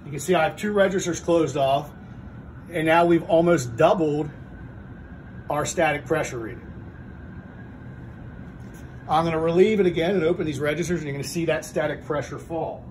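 A man's voice: fairly high pitch, normal speed, long pauses, darkish tone, slight background noise. A middle-aged man teaching HVAC in a training room, explaining step by step with plain, methodical talk and frequent restarts. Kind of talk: hands-on technical demonstration. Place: indoor training room with a furnace.

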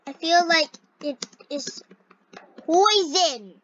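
A young girl with a high, bright and slightly scratchy voice hosts a gaming video. She reads on-screen dialogue haltingly and reacts excitably, at a slow pace. The recording is close but dull. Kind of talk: gameplay commentary, reading on-screen dialogue aloud.

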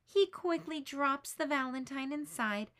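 Bright, high-voiced woman reading aloud. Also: measured pace. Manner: expressive, lifts pitch for quoted lines. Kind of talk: children's picture book read-aloud.

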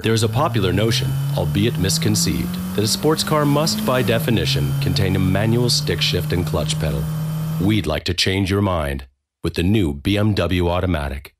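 An American man delivering scripted advertisement narration as a commercial voice-over actor. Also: sits low. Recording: studio voice-over with music bed. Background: music.

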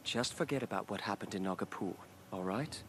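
An actor playing a courteous young nobleman in a fantasy video game, formal and measured in his delivery. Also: lowish voice, a bit bright, a little hiss or hum, close to an everyday voice. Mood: gently firm. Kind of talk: video game cutscene acting.

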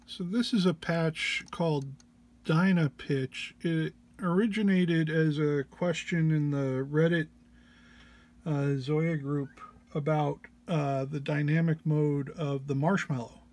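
A man who is under the weather gives an informal tutorial walk-through, explaining haltingly and hesitantly. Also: a little high, steady tone, darkish tone. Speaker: presenter of a gear walk-through.

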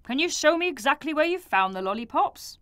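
A woman voicing a kindly grown man who murmurs slowly and thoughtfully while he puzzles things out. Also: high voice, sing-song, a bit bright, no echo, pitched above the performer's own voice. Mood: puzzled.

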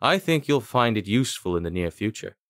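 A man voicing an elegant, mysterious stage magician in an audiobook, theatrical, measured and courteous. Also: on the low side, sing-song, fairly bright tone, clear, resonant tone, no room sound, studio quality. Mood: commanding, mysterious.

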